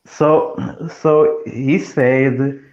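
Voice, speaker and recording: Slow, hesitant man on a muffled call. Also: medium pitch, fairly even intonation, darkish tone. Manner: hesitant, many uh's, halting English. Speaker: panel caller. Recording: dull lo-fi call audio.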